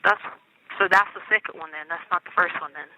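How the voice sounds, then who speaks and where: A woman's voice: on the low side, steady tone, fairly quick, background noise. A middle-aged American woman chatting casually on a phone call, colloquial and insistent, often checking that she is understood. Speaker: inmate on a jail phone call. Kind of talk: casual personal phone conversation. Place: recorded jail phone call. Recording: tinny, narrow telephone line.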